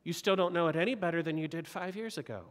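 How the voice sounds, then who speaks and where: Middle-aged male pastor, earnest exhorting sermon, slightly high voice, echoing church hall. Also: fast. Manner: earnest, exhorting, uses repeated rhetorical questions. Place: large church sanctuary. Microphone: pulpit mic. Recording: long reverb of a church hall.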